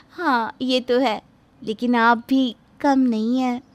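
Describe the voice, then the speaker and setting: A woman's voice: high-pitched, pitched above the performer's own voice. A woman voicing a coy, sweetly agreeable young bride in a story, with a shy manner. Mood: coy, flattered.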